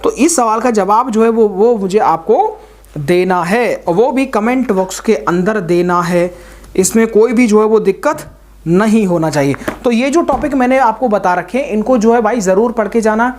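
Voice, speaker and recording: A woman's voice: medium pitch, animated, normal speed, a little mellow. A woman giving informal exam coaching, speaking directly to students and repeating points for emphasis. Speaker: exam-prep teacher.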